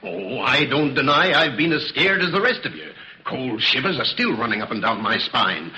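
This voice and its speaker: A man's voice: medium pitch, big pitch swings, normal speed, sharp tone, lo-fi sound. An actor playing a stubborn, scornful middle-aged father in an old radio horror drama, talking with defiant bluster. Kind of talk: 1940s radio horror acting.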